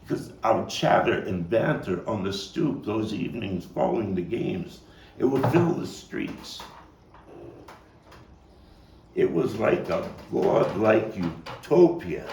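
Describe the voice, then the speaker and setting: A man performing a nostalgic Brooklyn man looking back and searching his soul in a stage monologue. The voice is very low and unhurried, swinging widely between hushed and emphatic, with halting repeats. It is heard from a distance in an echoey room. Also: vocal fry, full character voice. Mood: proud, reverent. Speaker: playwright and actor. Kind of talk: dramatic stage monologue at a table read. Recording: distant mic, roomy echo, faint noise.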